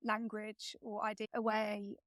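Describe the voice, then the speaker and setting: A woman's voice: medium pitch, monotone, unhurried, a bit bright, dry recording, studio quality. A woman being interviewed as a guest author, thinking aloud conversationally, restarting and restating phrases as she reasons.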